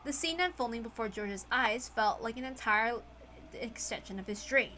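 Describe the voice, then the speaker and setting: A woman's voice: fairly high pitch, animated, moderate pace, pushed and bright, slight background noise. A woman reading a story aloud as a dramatic scripted narration. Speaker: reader of a visual novel.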